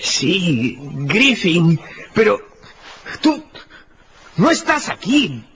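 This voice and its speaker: An actor playing a respected doctor in a radio drama, startled and disbelieving, speaking in a halting, bewildered way. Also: male voice, high voice, sing-song, fairly bright tone, slight background noise, exaggerated voice. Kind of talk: Spanish-language radio drama acting. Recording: music behind.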